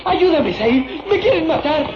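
A woman's voice: very high voice, lively intonation, unhurried. A woman voicing a ragged, feisty young street boy in an old radio serial, theatrical and impassioned. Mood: frightened, struggling. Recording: dull lo-fi radio recording with music.

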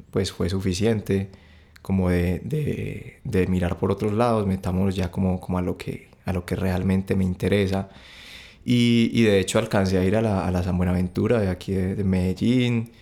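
A young man with a Bogotá Colombian accent, telling his story as a podcast guest in a halting, relaxed way with many restarts. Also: low-pitched.

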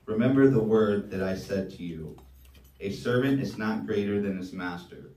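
A man leading a church service, reading scripture with measured emphasis and preaching. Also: fairly low pitch, dark tone. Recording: roomy sound from a distance.

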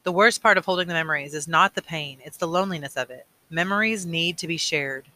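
A man reads as a weary elder mentor in a storybook. He speaks with grave, measured authority in a clear-edged, very bright voice, earnest and firm. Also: a little high. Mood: earnest, reflective.

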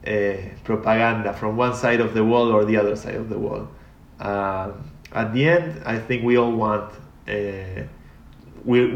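A man's voice: fairly low pitch, lo-fi sound. Guy rambling thoughtfully, lots of restarts, roomy echoey sound. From Argentina. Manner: reflective, rambling with frequent restarts and fillers. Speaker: guest. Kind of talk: casual interview talk. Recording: echoey room.

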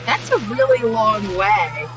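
A woman's voice: medium pitch, very animated, slow pace, a crowd in the background, rough recording. A woman co-hosting a podcast, casually reacting to a film with her friends. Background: film soundtrack playing clearly.

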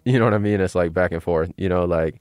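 A man's voice: deep voice, a little mellow, no echo, studio quality. An American man in reflective, informal conversation, feeling his way through thoughts with lots of repeated phrases. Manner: informal, halting, filler "like" and "you know what I mean". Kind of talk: casual reflective chat.

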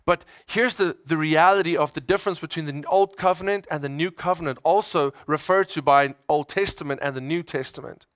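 A man's voice: on the high side, a little fast, few pauses, a bit bright, no echo, clean studio sound. A man preaching a conversational sermon, emphatic, repeating phrases and voicing people's inner thoughts in everyday words.

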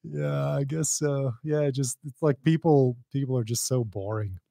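Blunt, laughing podcast host, dry sound. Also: male voice, mid-range voice, fairly even intonation, unhurried, vocal fry, warm tone, no room sound. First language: Arabic. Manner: blunt, sardonic, laughs often. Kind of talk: casual interview chat.

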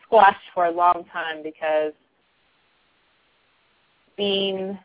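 A soft-spoken woman talking reflectively in a casual group discussion, laughing now and then. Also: fairly low pitch, steady tone, drawn-out words. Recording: tinny phone-like line.

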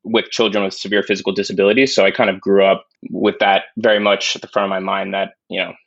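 A man's voice: low-pitched, level tone, fast talker, a bit bright, no echo. A young man born in Spain and raised in New York, a podcast guest talking casually and thoughtfully, with many restarts and fillers, on a dull, lo-fi recording. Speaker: podcast guest, climate investor. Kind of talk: casual interview talk. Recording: dull dry lo-fi sound.

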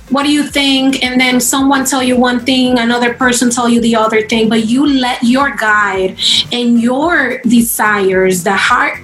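A woman hosting an interview, asking questions in a slow, halting way with non-native grammar. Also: on the high side, a bit bright. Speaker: interviewer, host. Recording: roomy echo, faint music behind.

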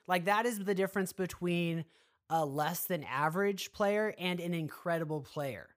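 A man's voice: sits high, normal speed, fairly bright tone. A man co-hosting a sports talk show, giving commentary in an emphatic way and stretching key words.

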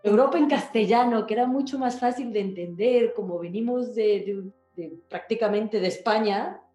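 A woman's voice: medium pitch, normal speed, warm tone, roomy sound, soft background music. A woman talks casually as an interview guest, in a chatty, rambling way, often checking back with the listener. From Ecuador.

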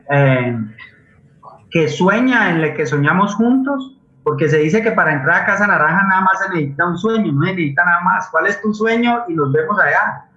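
A man with a Colombian accent speaks in a fairly high voice, feeling for his words as he talks informally as a guest. The sound is dull and very roomy, like an internet call. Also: sharp tone. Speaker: guest playwright and community theatre leader. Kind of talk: unscripted forum talk about theatre projects.

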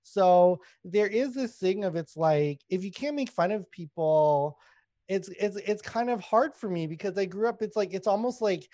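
A man's voice: high voice, animated, moderate pace, mellow, no room sound. A man in his thirties from Canada, a guest comedian talking candidly in a casual podcast chat, laughing and swearing as he goes. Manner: candid, laughing, swears freely, many fillers.

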